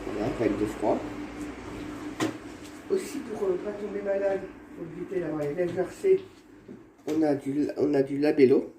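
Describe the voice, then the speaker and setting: A man vlogging at home while he has a cold, showing his remedies in a halting, rambling way that repeats itself. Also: fairly high pitch, expressive. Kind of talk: casual home how-to vlog. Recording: echoey room with faint background noise.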